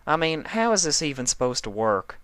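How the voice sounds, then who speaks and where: A man's voice: a little high, sing-song, fairly quick, fairly bright tone, smooth, no room sound, pitched above the performer's own voice. A man voicing a plainspoken, uneasy female country farm pony with a hesitant, folksy manner in a story reading. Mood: doubtful.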